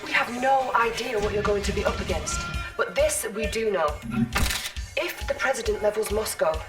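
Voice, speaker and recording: An actress playing an earnest female intelligence officer, giving crisp, formal briefings in a video game. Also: mid-range voice, expressive, normal speed, close to an everyday voice. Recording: slightly distant, music behind.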